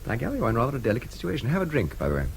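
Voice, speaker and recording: A brash, witty English agent in a radio drama. He talks fast and breezily in an English accent, his pitch bouncing around a middling register. The recording carries a faint hiss. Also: huge pitch swings, creaky. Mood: breezy.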